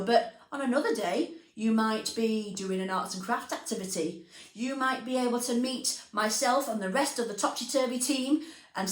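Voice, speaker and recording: A woman presenting and reading stories to young children, speaking expressively and deliberately, addressing them directly. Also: on the high side. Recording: roomy echo, music underneath.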